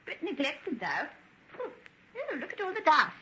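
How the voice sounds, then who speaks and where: A woman's voice: sits high, huge pitch swings, unhurried, bright, forceful tone, exaggerated voice. An actress playing a brash, restless working-class runaway woman with an exclamatory, colloquial manner in an old radio drama. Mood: awed, curious. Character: rough-edged working-class runaway woman, wide-eyed, cheeky, restless. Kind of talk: golden age radio drama acting. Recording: dull, noisy vintage radio recording.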